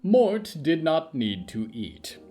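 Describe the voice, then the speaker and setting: A man narrating scripted literary horror fiction in a measured, theatrical way with dramatic pauses. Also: a little high, big pitch swings, warm tone, faint music behind.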